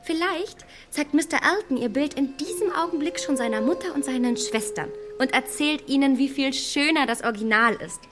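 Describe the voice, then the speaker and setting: An actress playing a confident, manipulative young gentlewoman in a period radio drama, with a poised, measured and insistent delivery. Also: high voice, music in the background, natural voice. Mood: soothing.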